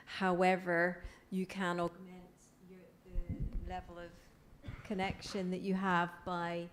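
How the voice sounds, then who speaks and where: A woman author speaking about her book on a panel, in a measured, academic way. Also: fairly low pitch, monotone, slight background noise. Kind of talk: panel discussion.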